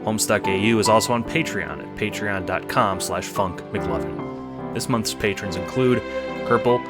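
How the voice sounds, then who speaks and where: A man's voice: lowish voice, moderate pace, creaky. A man narrating a scripted second-person audiobook in a dramatic storytelling manner. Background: music.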